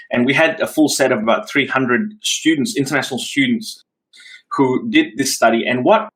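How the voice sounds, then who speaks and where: A man's voice: medium pitch, a bit bright, low-quality recording. Male academic, Australian accent, grew up in Singapore; mid-range voice, reflective interview answers full of restarts. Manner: thoughtful, frequent restarts and fillers. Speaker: associate dean, interviewee.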